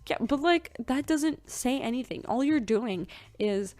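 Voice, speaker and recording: A woman's voice: high-pitched, animated, a little slow, darkish tone, dry recording. A woman in her twenties hosting a casual spiritual podcast, chatty and rambling with many fillers. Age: 20s. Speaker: podcast host.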